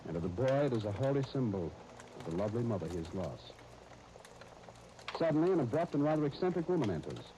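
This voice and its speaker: Animated American radio announcer man, noisy recording. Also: mid-range voice. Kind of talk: 1930s radio announcing and ad patter.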